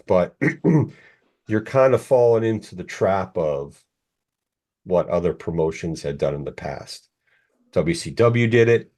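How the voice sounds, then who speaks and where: Guy in his 40s, deep voice, rambling casual podcast talk, kinda opinionated. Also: darkish tone. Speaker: podcast co-host. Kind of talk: casual chat.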